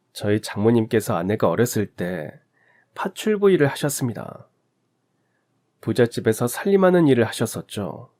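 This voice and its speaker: A man narrating a scripted family story from the husband's point of view. His delivery is calm and sympathetic, at a medium pitch typical for a man.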